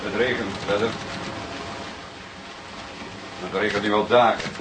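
Man acting seasoned dutch detective, low deliberate voice, fatherly, radio drama, noisy clicky recording. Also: steady tone, lightly acted. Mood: brooding, reflective. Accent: Dutch, from the Netherlands. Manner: deliberate, repeats others' words questioningly. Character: seasoned, fatherly, ponderous male police detective.

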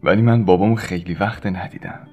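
Male reader, low register, sentimental scripted radio tribute, faint plucked-string music underneath. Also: steady tone, dark tone, dull audio.

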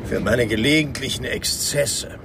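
A man's voice: mid-range voice, unhurried, creaky. An actor playing a boozy, self-mocking married man in a German radio crime drama, chatty and ironic. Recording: car and vehicle noise behind.

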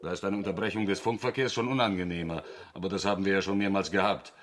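An actor playing a sceptical, argumentative astronaut in a German science fiction radio drama, with a dismissive way of talking. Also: male voice, sits low, steady tone, fast, a bit bright. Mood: dismissive, reassuring. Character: sceptical male astronaut on a space mission.

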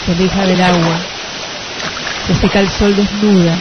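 A woman's voice: lowish voice, little pitch movement, moderate pace, background noise, lightly acted. A woman playing an overwrought, theatrical narrator of a lurid gothic jungle tale in a radio play. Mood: ominous. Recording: lo-fi radio sound with music behind.

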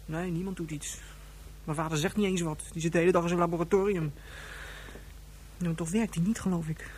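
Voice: medium pitch, steady tone, fairly quick, darkish tone, slight background noise, close to an everyday voice. A performer playing a frustrated, restless young captive in a radio drama, brooding and thinking aloud.

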